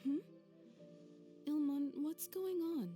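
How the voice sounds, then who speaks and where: A loving mother with a fairly high, mellow voice. She is tender, polite and soothing, in a gentle video game drama with music underneath. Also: takes it slowly. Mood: confused, soothing. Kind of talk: video game dub acting.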